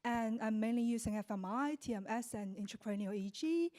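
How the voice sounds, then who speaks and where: Polite woman researcher, mellow voice. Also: on the high side, a little fast. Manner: polite and eager. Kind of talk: conference self-introduction.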